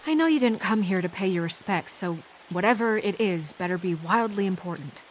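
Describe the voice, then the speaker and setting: A woman playing an earnest, composed official in a dark fantasy video game, speaking in a measured, diplomatic way. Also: medium pitch, animated, close to an everyday voice. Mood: stern. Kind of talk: dramatic video game acting. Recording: faint rain noise behind the voice.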